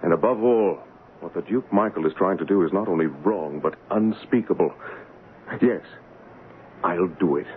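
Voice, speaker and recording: An actor playing an earnest, honourable English gentleman in a radio drama, speaking in a measured way. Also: low voice, lightly acted. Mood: earnest, resolved. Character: earnest, honourable English gentleman, royal look-alike and storyteller. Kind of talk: old-time radio drama acting and first-person narration. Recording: muffled vintage radio broadcast with music.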